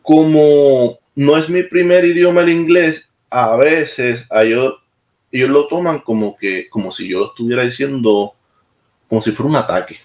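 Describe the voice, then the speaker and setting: A man's voice: mid-range voice, normal speed, darkish tone. Puerto Rican man in an echoey room. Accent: Puerto Rican Spanish. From Puerto Rico. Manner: direct, plain-spoken, with hesitant restarts. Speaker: military musician, interview guest. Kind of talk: casual interview talk.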